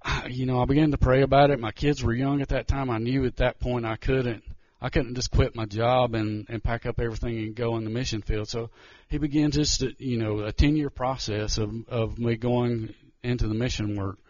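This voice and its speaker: Male missionary, nervous, halting, dry, muffled lo-fi sound. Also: mid-range voice, steady tone, darkish tone, no echo. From Georgia, US. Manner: nervous, halting, many restarts. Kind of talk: church testimony talk.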